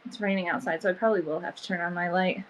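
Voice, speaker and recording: A woman's voice: fairly low pitch, steady tone, rapid-fire, a little hiss or hum. Chatty, sniffly woman selling vintage. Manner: chatty, lots of fillers like "um" and "like". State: sniffly from allergies. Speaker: online vintage seller. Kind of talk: casual live-sale chat.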